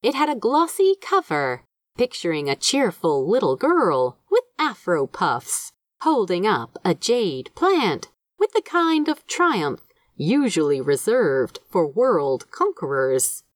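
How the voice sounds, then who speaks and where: Expressive, unhurried woman reading a story. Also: fairly low pitch, no room sound, studio quality. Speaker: fan fiction narrator. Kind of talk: scripted story narration.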